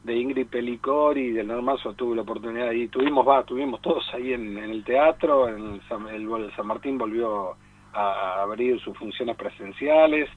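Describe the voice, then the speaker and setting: A man giving film and theatre commentary in unscripted radio chat, halting and digressive, repeating words, over a thin call line. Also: mid-range voice. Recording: thin, narrow phone-like line.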